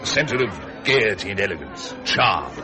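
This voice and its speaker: An actor playing a wry Victorian London gentleman in a radio drama, urbane and arch, with an English accent. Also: lowish voice, very animated, unhurried, music in the background. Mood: amused.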